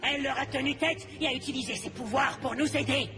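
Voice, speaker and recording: A man's voice: very high-pitched, fast talker. An actor playing a scheming villain master and self-pitying father in a dubbed cartoon, pompous, mock-sweet and sarcastic. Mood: irritated, commanding. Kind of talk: animated series dub acting. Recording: loud music behind the voice.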